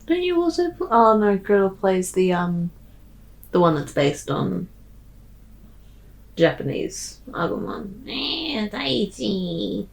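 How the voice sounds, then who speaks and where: A woman's voice: mid-range voice, sing-song, slow, gravelly, poor audio. A woman co-commentating casually, with playful, dry reactions.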